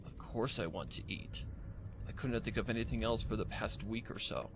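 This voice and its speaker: A man acts out a starving, bewitched stallion from a dark pony story. The voice is low, soft and nearly whispered, with dazed, halting phrases. There is noticeable noise behind it. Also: gravelly. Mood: weak, craving. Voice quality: soft, weak, near-whispery. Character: starving, bewitched young stallion pegasus, weak and haunted.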